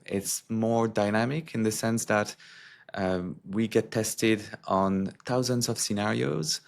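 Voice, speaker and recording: A man who works as a security executive, answering interview questions in a halting, filler-heavy way. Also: fairly low pitch, gravelly.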